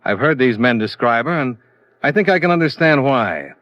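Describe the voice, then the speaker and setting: An actor in a 1950s radio drama playing a blunt, hard-boiled insurance investigator who talks in a terse, matter-of-fact way. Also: male voice, mid-range voice, sing-song, normal speed, no room sound, natural voice. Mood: pressing, blunt. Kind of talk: 1950s radio detective acting. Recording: dull vintage radio recording.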